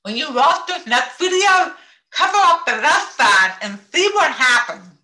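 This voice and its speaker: A woman with a deaf accent giving a talk on captioning over a video call, speaking carefully and deliberately. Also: high-pitched, very animated, pushed and bright. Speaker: presenter. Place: home office with bookshelves. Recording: echoey room on a video call.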